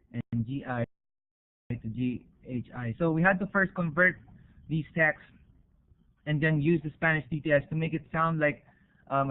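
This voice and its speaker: A man with a Filipino accent and a slightly high voice presents research in an informal, explanatory way. He speaks hesitantly and pauses to check that listeners follow. The sound is thin and narrow, as over an internet call. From Philippines.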